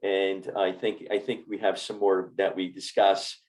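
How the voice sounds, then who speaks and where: A man's voice: sits low, flat delivery, a little slow, a little mellow. A man, a doctor hosting a health interview podcast, speaking enthusiastically and often repeating words. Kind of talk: casual interview talk.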